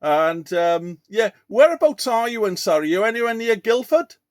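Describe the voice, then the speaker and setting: A man's voice: high voice, animated, normal speed, heavy background noise. A man chatting casually as a CB radio operator, hesitant and often repeating himself. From Wales. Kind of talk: casual radio contact chat.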